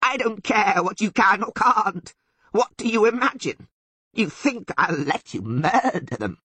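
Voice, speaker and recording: A man voicing an indignant, abusive goat-like sylvan devil in an audiobook, with a raspy bleat and emphatic, scolding delivery. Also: high voice, animated, a little slow, sharp tone, no room sound, pitched above the performer's own voice, exaggerated voice. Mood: outraged. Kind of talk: audiobook character voice.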